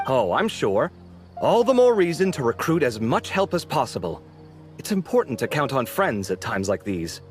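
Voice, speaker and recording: An actor playing an animated, sociable young man who bickers sarcastically with his roommate, in a game cutscene. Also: medium pitch, normal speed, faint music behind, close to an everyday voice. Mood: friendly. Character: expressive sociable young man architect, easily exasperated. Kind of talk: video game cutscene voice acting.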